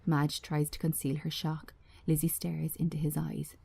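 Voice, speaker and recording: A woman's voice: low voice, completely flat delivery, normal speed, vocal fry, warm tone, slightly rough, dry recording. A woman reading screenplay action as a scripted narration.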